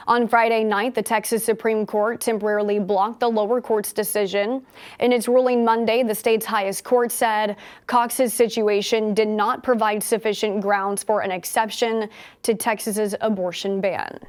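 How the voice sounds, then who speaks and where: High-pitched American woman reading news. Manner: measured, formal newsreader delivery. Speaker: news anchor. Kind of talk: scripted news narration.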